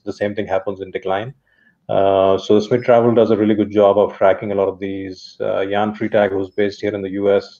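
Executive man, low dark gravelly voice, flat steady delivery, lo-fi call audio. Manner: steady, thoughtful, many uh fillers. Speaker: company executive guest. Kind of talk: business interview talk. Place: home study. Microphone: video call. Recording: dull lo-fi video call audio.

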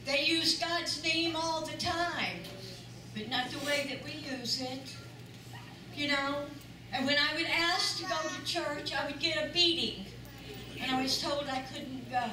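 Older woman, high-pitched, unhurried, emphatic church testimony, captured at a distance in a noisy sanctuary. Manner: earnest, emphatic repetition. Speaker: church member giving testimony. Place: large church sanctuary with audience. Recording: echoey sanctuary, voice from far off, noisy.

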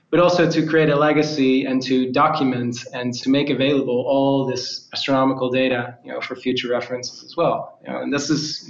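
A man speaking as a guest who tells his story steadily, with many "you know" and "uh" fillers. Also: medium pitch. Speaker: lead data scientist guest. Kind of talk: casual interview answers. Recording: roomy, dull remote audio.

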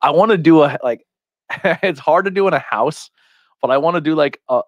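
A man's voice: a little high, normal speed, no room sound, muffled recording. An American man chatting casually on a podcast, telling rambling, profanity-laced stories. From Pennsylvania, US. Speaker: podcast host. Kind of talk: casual chat, anecdotes. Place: small curtained podcast room. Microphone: podcast microphone, headphones.

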